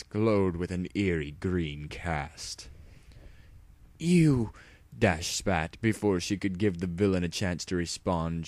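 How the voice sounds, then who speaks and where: A man's voice: low voice, no room sound. A man narrating a dramatic spoof audiobook in a theatrical, hammy storytelling manner.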